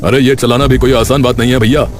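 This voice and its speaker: A man playing a jealous, scheming village shopkeeper who grumbles resentfully in an animated story. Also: medium pitch, fast, lightly acted. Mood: bitter, grumbling. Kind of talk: Hindi animated moral story voice acting. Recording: music behind the voice.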